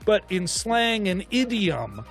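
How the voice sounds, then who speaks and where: A man co-hosting a film discussion show, chatting casually in a rambling, animated way as he thinks aloud. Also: sits high, slow pace, soft background music. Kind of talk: casual chat.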